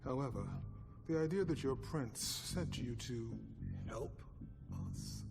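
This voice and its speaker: Slow, courtly, menacing vampire lord. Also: male voice, medium pitch, huge pitch swings, gravelly, music in the background, exaggerated voice. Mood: wary, measured. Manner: courtly, measured, old-fashioned politeness. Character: suave, formal, menacing male vampire clan leader. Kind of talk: dramatic video game acting.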